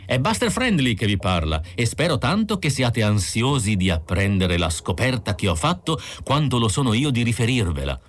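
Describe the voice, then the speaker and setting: A man's voice: mid-range voice, very animated, moderate pace, few pauses, voice pushed up, close to an everyday voice. A man voicing a gushing, self-important television showman with a theatrical, emphatic announcer delivery, in an audiobook story. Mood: excited, showy.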